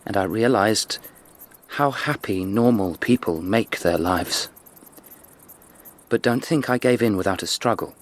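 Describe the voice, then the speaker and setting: A man's voice: lowish voice, a little slow. Troubled young upper-class Englishman. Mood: grave, confessional. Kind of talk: radio drama acting.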